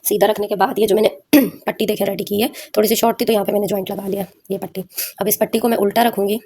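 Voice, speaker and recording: A woman's voice: mid-range voice, little pitch movement, quick pace, mellow, husky. A woman with a sore throat, explaining a craft step by step in an informal, conversational way to viewers. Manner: conversational, addresses viewers with frequent check-in tags. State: sore throat, frequent throat clearing. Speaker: sewing tutorial host.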